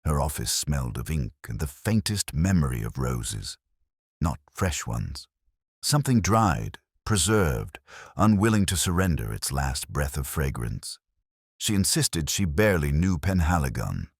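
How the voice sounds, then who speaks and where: A man playing a brooding, weary police inspector, narrating a literary detective audio drama in measured, contemplative phrases. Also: rumbling low, big pitch swings, slightly rough, no room sound, clean studio sound, full character voice.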